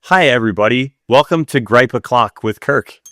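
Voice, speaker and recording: A man's voice: mid-range voice, normal speed, fairly bright tone, dry recording, studio quality. A man co-hosting a podcast in casual chat, with an informal, wryly griping manner. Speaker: podcast co-host.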